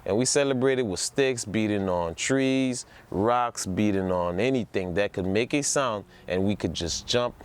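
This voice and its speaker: A man's voice: on the low side, measured pace, few pauses. A man from New Orleans talks casually and enthusiastically as a presenter, repeating words for emphasis. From New Orleans, United States. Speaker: presenter introducing a music production project. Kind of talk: casual informal talk to camera. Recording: music playing behind the voice. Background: music.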